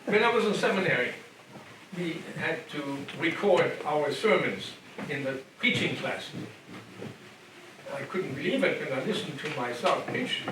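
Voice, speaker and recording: Older german guy lecturing at church, high-ish voice, very echoey room from far off. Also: animated, unhurried. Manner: conversational, repeats and restarts phrases, asks the room "okay?". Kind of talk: church adult education lecture. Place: church hall. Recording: very echoey hall, distant mic, background noise.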